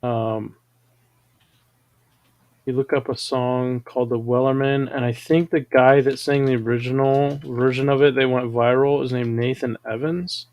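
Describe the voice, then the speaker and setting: A man's voice: medium pitch, little pitch movement, a little slow, darkish tone. A man hosting a casual livestream chat, explaining ideas at an easy conversational pace with fillers. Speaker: show host.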